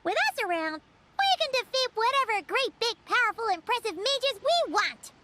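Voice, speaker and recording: A woman's voice: very high-pitched, very animated, moderate pace, very noisy. A woman voicing a tiny, childlike, eager fairy companion in an anime game. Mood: confident.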